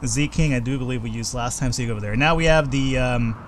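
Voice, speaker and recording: A man in the middle of a let's play, chatting loosely through his moves and swearing when the game turns on him. His voice is a little hoarse and sits at an ordinary pitch. A faint hiss runs under the recording. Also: fast. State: slightly hoarse. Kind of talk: casual game commentary.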